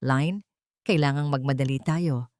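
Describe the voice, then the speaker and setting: A man's voice: medium pitch, unhurried, a little mellow, no echo, studio quality, voice pushed down, close to an everyday voice. A woman voicing a serious, urgent male lawyer and protector in an audio drama story. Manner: grave, urgent.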